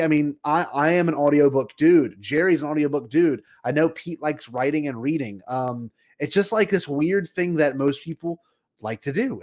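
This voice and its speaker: A man with a fairly high voice co-hosts a casual podcast. He talks in an animated, enthusiastic way with a joking streak. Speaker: podcast co-host.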